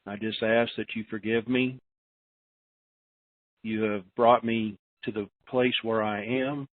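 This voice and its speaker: Male call host, deep and unhurried, halting humble prayer, dry muffled line. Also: fairly even intonation, no room sound. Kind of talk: informal spoken prayer. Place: conference call. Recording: muffled, band-limited conference call line.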